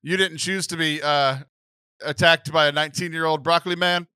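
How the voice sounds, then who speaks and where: Man, podcast co-host, casual joking chat, quick laughs, close dry mic. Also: a little high, fairly even intonation, sharp tone, smooth, dry recording.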